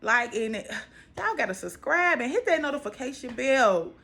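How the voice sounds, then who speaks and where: A woman's voice: sits high, big pitch swings, moderate pace, vocal fry, fairly bright tone. An American woman in her twenties chatting casually to viewers, emphatic and repeating phrases for stress. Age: 20s. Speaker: vlogger. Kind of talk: casual personal vlog chat to viewers.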